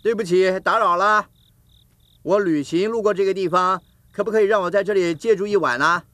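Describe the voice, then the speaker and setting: An actor playing a humble, naive young traveller in a folk-tale cartoon, earnest, grateful and exclamatory. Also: male voice, sits high, fairly quick, a bit bright. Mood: hopeful, polite. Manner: earnest, wide-eyed, exclamatory.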